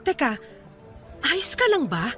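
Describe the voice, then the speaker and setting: A very high-pitched, bewildered former girlfriend in a radio drama. Her guarded, emotional protests swing widely in tone over faint music. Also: very animated, takes it slowly, fairly bright tone, exaggerated voice. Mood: confused. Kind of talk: radio drama acting in Tagalog. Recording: music under the voice.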